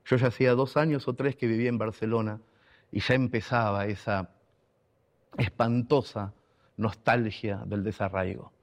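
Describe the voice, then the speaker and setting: A man's voice: lowish voice, fairly even intonation, normal speed. Emotional Argentine man telling a story. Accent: Argentine Spanish. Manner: emotional, emphatic, repeats key words. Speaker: radio contributor and writer. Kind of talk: personal storytelling monologue.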